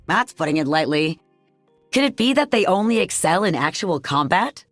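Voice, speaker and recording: A stern female military coach in a video game, clipped and commanding. Her voice sits fairly low and swings with lively intonation, recorded dry over music. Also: normal speed, dry recording. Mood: scornful. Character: strict, no-nonsense female combat coach and executive. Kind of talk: English video game voice acting.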